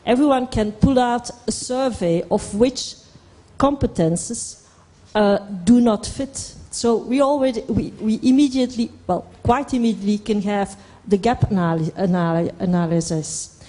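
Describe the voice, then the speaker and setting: A middle-aged Flemish woman explains her work on a conference panel, halting and repeating words for emphasis, into a handheld microphone. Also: fairly high pitch, warm tone. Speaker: panelist from an employment service. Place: indoor conference hall stage.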